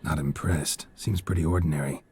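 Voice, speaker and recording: Game cutscene: terse, dry male monster hunter, very low voice. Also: fairly even intonation, a little fast, a little mellow, slightly rough, heavily acted. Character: gruff, world-weary male monster hunter. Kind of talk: video game cutscene dialogue.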